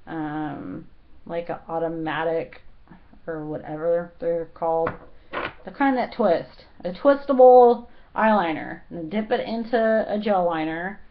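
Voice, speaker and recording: Woman beauty vlogger, casual and halting, lots of fillers, unhurried, a little hoarse, muffled lo-fi sound. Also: lowish voice. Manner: rambling, filler-heavy, halting. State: slightly hoarse. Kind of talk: casual makeup tutorial. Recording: dull lo-fi home recording.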